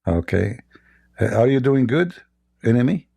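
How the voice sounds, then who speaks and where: Gravelly man, mocking debater. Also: medium pitch, very animated, normal speed, warm tone. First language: Arabic. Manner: mocking, argumentative, uses rhetorical questions. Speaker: debate show host. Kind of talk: call-in religious debate.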